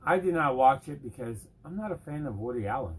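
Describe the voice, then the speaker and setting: A woman with an unusually deep voice co-hosts a laid-back movie chat. Her tone is husky and a little rough. She keeps her verdicts short and blunt. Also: lively intonation, brisk, rough recording. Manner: terse, blunt comments. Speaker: co-host. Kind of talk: casual chat reviewing movies.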